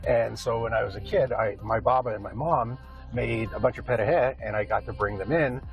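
A man's voice: medium pitch, moderate pace, dull audio, soft background music. A middle-aged man with a Canadian accent answering interview questions casually, in a rambling, hesitant way. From Windsor, Canada.

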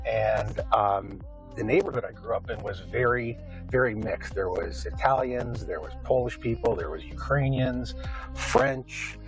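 A man's voice: lowish voice, rough recording. A middle-aged man with a Canadian accent answering interview questions casually, in a rambling, hesitant way. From Windsor, Canada.

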